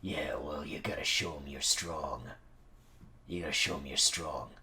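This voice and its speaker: A man voicing a gritty, protective dog leader with a gravelly, breathy voice in an improvised story. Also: on the low side, lively intonation, slow, pitched below the performer's own voice, exaggerated voice. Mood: hushed, conspiratorial. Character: gritty, intense male dog, desperate protective prison-tough leader. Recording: distant voice under loud music.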